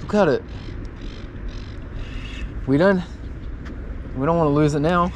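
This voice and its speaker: A young man commentating his own fishing live from an outdoor wharf at night, chatty and loudly excited when fish bite. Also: fairly high pitch, a little slow. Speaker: fishing vlogger. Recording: outdoor night recording with background noise.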